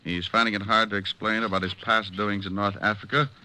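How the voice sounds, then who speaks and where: An actor playing a cocky, sardonic American private detective in an old-time radio drama, wisecracking and hot-tempered. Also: male voice, fairly low pitch, level tone, fairly quick, bright, forceful tone, low-quality recording. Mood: dry, pressing. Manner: sardonic, flippant.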